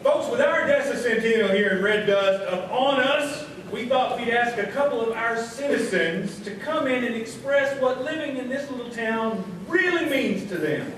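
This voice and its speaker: A man's voice: very high-pitched, expressive, normal speed, hardly any pauses, a bit bright. A man playing a folksy small-town host of a live radio variety comedy show, with showman's patter. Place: live show before audience in hall. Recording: echoey hall, distant, noisy live stage.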